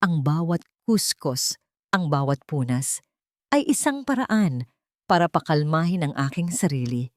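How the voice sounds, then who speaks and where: A woman narrating a scripted first-person drama in a measured, deliberate way. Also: low voice, big pitch swings, no room sound, studio quality. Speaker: story narrator.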